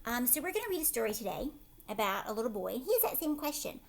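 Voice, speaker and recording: A woman's voice: fairly high pitch, big pitch swings, fast talker, vocal fry. A woman teacher reading a picture book aloud to children, expressively, with chatty commentary and questions along the way. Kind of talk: storybook read-aloud with casual commentary for children.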